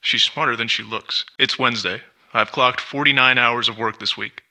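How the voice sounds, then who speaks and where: An actor playing an arrogant, suspicious lab scientist in an adventure game, dictating work notes in a measured, condescending, precise way. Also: male voice, mid-range voice, steady tone, bright, forceful tone, low-quality recording. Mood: wary, irritated. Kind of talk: scripted adventure game voice acting, diary-like monologue.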